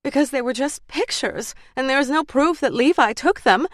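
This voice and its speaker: A woman narrator voices an anxious wife under questioning, her voice lifted high and strained. She stays defensive and pleading. The audiobook sound is dry and close. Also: normal speed, no room sound, natural voice.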